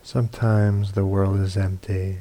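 A man's voice: deep voice, flat delivery, a little slow, warm tone. A man leading a guided meditation as a teacher, reading poems and reflections in sparse phrases with long silences. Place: meditation retreat hall.

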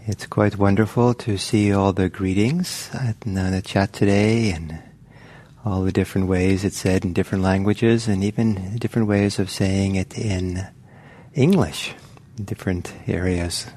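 Slow, gentle meditation teacher, a man. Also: low-pitched, fairly even intonation. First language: Norwegian. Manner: gentle, unhurried, halting with many fillers and restarts. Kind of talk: dharma talk leading into guided meditation. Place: online session with a chat.

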